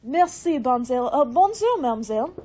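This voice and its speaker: A woman playing a gushing French maid in a radio play, with a French accent and halting, hesitant English. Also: high-pitched, big pitch swings, mellow, slight background noise. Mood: eager, polite. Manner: hesitant, searching for English words.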